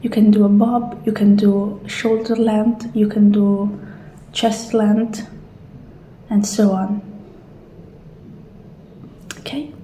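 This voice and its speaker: Soft mellow asmr lady half whispering, gentle attendant roleplay, roomy sound w a bit of background noise. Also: medium pitch, level tone, slow pace, long pauses. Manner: gentle, hushed attendant walking a client through options.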